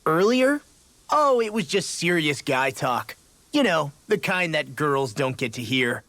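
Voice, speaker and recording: Game role: hot-headed young fighter, American accent, very lively cocky delivery. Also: male voice, a little high, measured pace, no room sound, exaggerated voice. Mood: teasing. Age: young adult. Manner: cocky, slangy. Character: brash, hot-headed young male brawler, son following father's footsteps. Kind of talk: video game voice acting. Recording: studio voice over game music.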